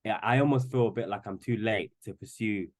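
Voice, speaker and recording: A man's voice: on the low side, brisk. A man in his twenties, a podcast guest, talking casually with many restarts and fillers. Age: 20s. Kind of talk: casual chat.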